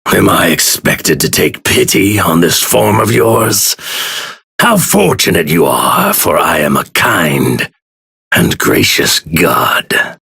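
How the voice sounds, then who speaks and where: An actor playing an arrogant god in a stolen body, pompous and sneering in formal, grandiloquent speech for an anime fighting game. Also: male voice, low voice, very animated, slow pace, vocal fry, pushed and bright, slightly rough, dry recording, studio quality, full character voice. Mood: grandiose, gloating. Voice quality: theatrical, sneering delivery.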